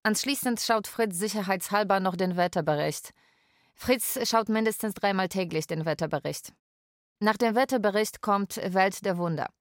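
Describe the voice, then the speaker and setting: A woman playing a carer who narrates a daily routine in a radio drama, measured and matter-of-fact. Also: mid-range voice, no room sound, studio quality, close to an everyday voice.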